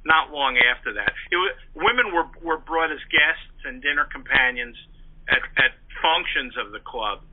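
An older man, an interview guest, reminiscing in an articulate, measured and wordy storytelling manner. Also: fairly high pitch. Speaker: interview guest, journalist and historian. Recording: thin, narrow telephone-like line.

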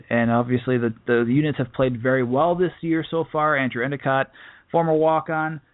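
Male sports podcaster on phone-like audio. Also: medium pitch. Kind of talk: casual solo game preview commentary.

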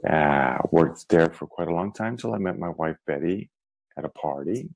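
A man's voice: extremely low pitch, expressive, normal speed, vocal fry, darkish tone. A middle-aged man with an American accent, raised in New York, telling his life story easily as a podcast guest. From Venezuela, raised in New York. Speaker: guest, lifestyle blogger. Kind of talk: interview storytelling.

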